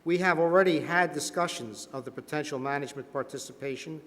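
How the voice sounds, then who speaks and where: Man as probing regulatory board member, fairly high male voice, measured and formal, staged hearing in a reverberant hall. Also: a bit bright, natural voice. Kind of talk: scripted dramatization of a hearing. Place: large meeting hall with sound system. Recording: long reverb of a large hall.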